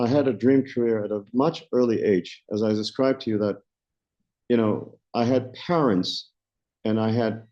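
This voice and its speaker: A South African man around sixty, a company founder answering interview questions in a measured way, often restarting as he searches for words. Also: on the low side, dark tone. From Johannesburg, South Africa. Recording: dull lo-fi recording.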